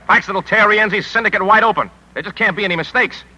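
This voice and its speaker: A man's voice: high voice, quick pace, bright, forceful tone, exaggerated voice, poor audio. A man playing a hard-driving, brusque newspaper editor in 1950s radio drama style, clipped and commanding. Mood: forceful, impatient. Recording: vintage 1950s radio broadcast recording.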